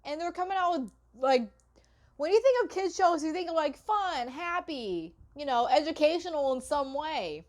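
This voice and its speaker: A woman's voice: sits high, animated, moderate pace. A woman giving casual reaction commentary as a video host, informal and full of exclamations and apologetic asides.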